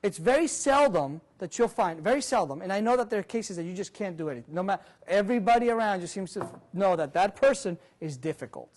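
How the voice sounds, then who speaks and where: Male seminar speaker in his 40s with a fairly high voice, lively and audience-quizzing, recorded from across a conference room. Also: quick pace, hardly any pauses. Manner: animated, walks about and gestures, quizzes the audience. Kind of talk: interactive career seminar talk. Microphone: far camera, room mic.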